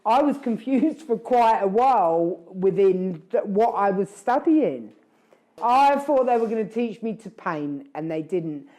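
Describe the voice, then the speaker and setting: A woman's voice: mid-range voice, animated, measured pace, mellow. A woman hosting a casual chat, talking in a rambling, reflective way and often correcting herself. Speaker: podcast host.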